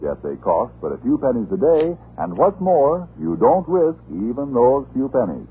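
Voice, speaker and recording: A man's voice: mid-range voice, sing-song, moderate pace, slightly rough. A man working as an announcer, delivering scripted 1940s radio advertising patter. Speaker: radio announcer and sponsor pitchman. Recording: tinny old radio recording.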